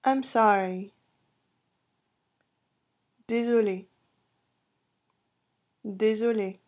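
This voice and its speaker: A woman teaching a language lesson, saying each short phrase slowly and carefully, then repeating it several times for the listener. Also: mid-range voice, a little mellow. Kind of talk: phrase-drill lesson narration.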